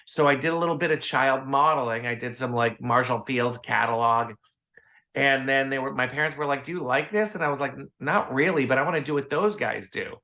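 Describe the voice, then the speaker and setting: Bright-voiced American man telling stories. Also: medium pitch, a little fast. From Chicago, United States. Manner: chatty, acts out quoted dialogue. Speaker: podcast guest, photographer. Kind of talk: casual anecdotal storytelling.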